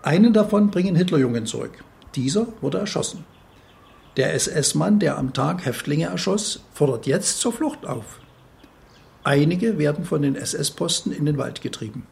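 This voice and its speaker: A man's voice: a little high, animated, normal speed, creaky, darkish tone. A man reading a scripted, diary-like eyewitness account. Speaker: reader of testimony.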